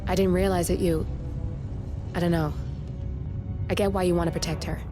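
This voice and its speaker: A woman plays a cool, focused hacker in a video game, guiding an agent with low-key delivery. Her voice is slightly low for a woman, with music clearly behind. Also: steady tone, moderate pace, natural voice. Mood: hesitant, understanding.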